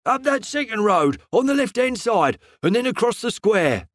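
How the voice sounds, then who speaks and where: A man's voice: high-pitched, big pitch swings, normal speed, no room sound, clean studio sound, voice pushed up. A man voicing a red-faced, hot-tempered male greengrocer who blusters and threatens. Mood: angry.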